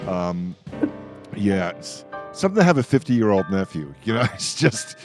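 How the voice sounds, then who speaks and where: A man's voice: sits low, big pitch swings, normal speed, music in the background. An older man hosting a casual radio roundtable in a jokey, rambling way. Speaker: radio show host. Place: radio show with remote guests.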